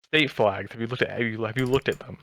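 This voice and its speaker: A man's voice: mid-range voice, quick pace, fairly bright tone. A young American man co-hosting a podcast, chatting casually with friends. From United States. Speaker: podcast co-host. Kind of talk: casual chat among friends.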